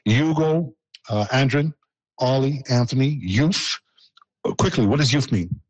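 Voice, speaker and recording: A man's voice: mid-range voice, unhurried, slightly rough, no room sound, low-quality recording. Guy hosting a band interview, jokey, lots of laughs, dry sound. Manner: joking, teasing, laughs often. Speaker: host. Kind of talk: playful broadcast interview.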